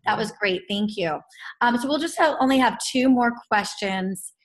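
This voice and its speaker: A woman's voice: a little high, moderate pace. A woman hosting an online interview, asking long, friendly, rambling questions with fillers, her throat a little sore. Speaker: interview host. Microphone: computer on a video call.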